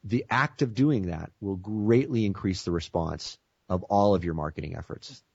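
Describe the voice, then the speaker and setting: An American man as a guest on a teleconference interview, speaking with enthusiastic sales-pitch energy and frequent "you know". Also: normal speed, gravelly, a little mellow, breathy edge, dry recording, lo-fi sound.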